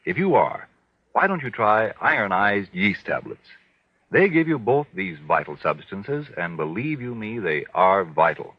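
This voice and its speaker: A man's voice: fairly low pitch, sing-song, a little slow, no echo. A man announcing in 1940s radio style, formal and emphatic, with a polished sales delivery. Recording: muffled old radio recording.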